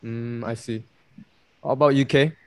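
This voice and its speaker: Brief: young male podcast host, easygoing and giggly, mid-pitched, dry close sound. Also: fairly even intonation, normal speed, smooth, dry recording. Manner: chatty, laughs often, many "like" fillers. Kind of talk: casual podcast chat.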